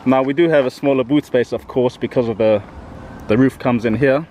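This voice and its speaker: A man's voice: medium pitch, a little fast, warm tone, slight background noise. A man hosting a casual car walkaround outdoors, chatty and admiring, recorded on a camera mic. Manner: chatty, admiring, repeats himself. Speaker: car vlogger host. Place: outdoors beside a parked car.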